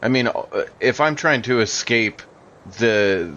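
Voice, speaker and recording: A man's voice: mid-range voice, measured pace, fairly bright tone, highs cut off, a little hiss or hum. A man playing a tabletop role-playing game, talking casually and hesitantly as he thinks aloud about his character.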